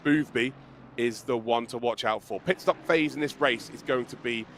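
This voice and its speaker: A man commentating a live sim race with a croaky, strained voice, excitable and joking. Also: medium pitch, moderate pace, slight background noise. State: hoarse, losing his voice.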